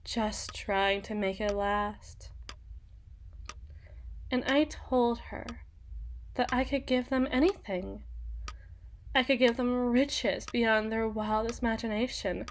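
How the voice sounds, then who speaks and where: A woman playing a sadistic, teasing female demon in an ASMR roleplay monologue, with theatrical, taunting and deliberate phrasing. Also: fairly high pitch, a little hiss or hum. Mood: gleefully cruel.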